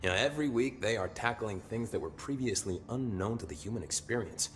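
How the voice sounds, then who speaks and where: An actor playing a blunt, wry security officer in a video game, talking casually with a sardonic streak. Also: male voice, fairly low pitch, lively intonation, brisk, natural voice. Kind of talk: video game dialogue acting. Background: music.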